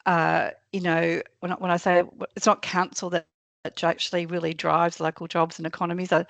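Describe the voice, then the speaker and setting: A woman from a local council answering webinar questions over a video call, with a croaky voice and a hesitant way of speaking. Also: fairly low pitch, level tone, fairly quick, no room sound. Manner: hesitant, many fillers and restarts. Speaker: council staff presenter.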